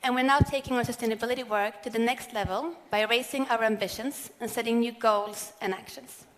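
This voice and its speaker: A woman, giving a measured, earnest prepared keynote speech on stage. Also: on the high side, steady tone. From Sweden. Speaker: corporate sustainability speaker. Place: large event hall on stage. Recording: long hall reverb.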